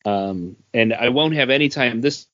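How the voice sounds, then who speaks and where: A man's voice: mid-range voice, moderate pace, a bit bright, dull audio. A man talking casually as a podcast co-host, giving his offhand opinions. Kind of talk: casual chat, reviewing drinks.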